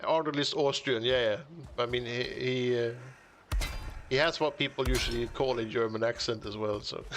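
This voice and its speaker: A man's voice: mid-range voice, lively intonation, normal speed, background noise. Chuckling man narrating his game build. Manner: chuckling often, musing half to himself. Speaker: game streamer. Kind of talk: casual live-stream commentary while building.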